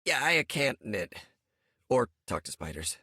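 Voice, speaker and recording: A man's voice: medium pitch, big pitch swings, unhurried, pushed and bright, husky, dry recording, studio quality, full character voice. An actor playing a joking young male web-slinging hero with a playful, quippy manner in a video game.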